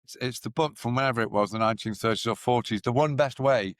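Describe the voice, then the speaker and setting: A man's voice: medium pitch, quick pace, dry recording, studio quality. A man who founded and runs a company, a podcast guest speaking in a reflective way, thinking aloud with hedging fillers. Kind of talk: casual conversational interview.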